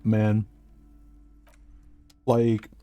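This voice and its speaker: A man with a deep voice casually commenting on a game as he streams, reading its text aloud between asides. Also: monotone, very slow, dark tone, muffled recording, soft background music. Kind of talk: casual let's-play commentary.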